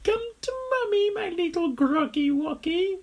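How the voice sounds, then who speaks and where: A man voicing a furious, possessive mother crocodile in a storybook, bellowing in a high, strained voice. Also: animated, unhurried. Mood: doting. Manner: bellowing, shrieking.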